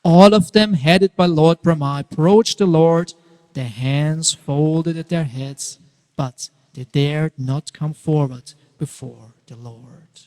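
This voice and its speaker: A man narrating a dramatic scripture reading in a theatrical, emphatic way, swelling and hushing for effect. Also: a little high, takes it slowly. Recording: long reverberant hall echo.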